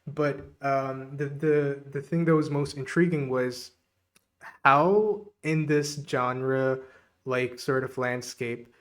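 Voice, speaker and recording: A man's voice: medium pitch, fairly even intonation, slow. A man from Pakistan, hosting a podcast and asking questions in a hesitant way, with many fillers. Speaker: podcast host. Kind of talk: podcast interview.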